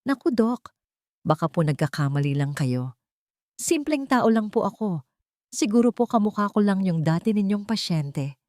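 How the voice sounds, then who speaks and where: A woman's voice: mid-range voice, big pitch swings, normal speed, dark tone, no echo, studio quality, voice pushed up, natural voice. A woman voicing a humble young housemaid in an audiobook drama, speaking in a polite, deferential way. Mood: nervous.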